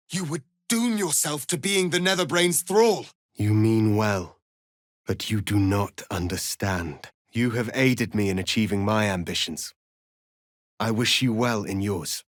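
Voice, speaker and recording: An actor playing a proud, righteous rebel warrior prince in a fantasy video game, speaking in a solemn, formal, declamatory way. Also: male voice, lowish voice, a bit bright, no echo, clean studio sound, exaggerated voice. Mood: stern, commanding.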